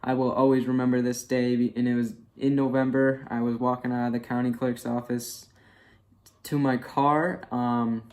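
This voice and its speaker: A man's voice: medium pitch, flat delivery, moderate pace, darkish tone. A twenty-one-year-old man chatting candidly in a casual personal vlog, with many fillers. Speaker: vlogger.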